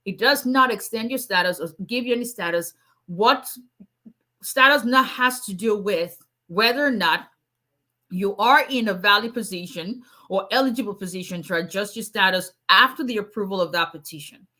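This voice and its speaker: A middle-aged woman with a Nigerian accent, an immigration attorney answering viewer questions in a casual livestream, with a strong, loud voice. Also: medium pitch, moderate pace. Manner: loud, strong voice, laughs often. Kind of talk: livestream Q&A reading viewer comments.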